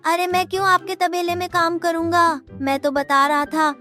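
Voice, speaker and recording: A man voicing a scheming, flattering village clerk in a cartoon, whiny and wheedling. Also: very high-pitched, normal speed. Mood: indignant. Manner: whiny, wheedling, repeats a catchphrase. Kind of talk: Hindi cartoon voice acting. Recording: music behind the voice.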